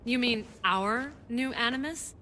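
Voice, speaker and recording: A woman's voice: on the high side, measured pace, bright, forceful tone. An actress playing a caring but firm woman doctor who keeps a colleague's health in check. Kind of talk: game cutscene acting. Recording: long-reverb room with faint noise.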